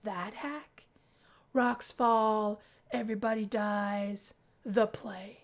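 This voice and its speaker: A woman's voice: mid-range voice, steady tone, very slow. A laid-back young college guy who jokes and teases, as voiced by a woman. He is a visual novel character with an easygoing, wisecracking manner. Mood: teasing. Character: Max, joking laid-back young male college roommate, teasing.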